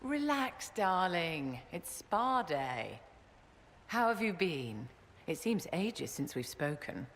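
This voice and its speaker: A woman's voice: on the low side, big pitch swings, slow, slight background noise, exaggerated voice. An actress playing a pampered, haughty noblewoman who speaks in an arch, condescending drawl. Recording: reverberant game audio with long echo.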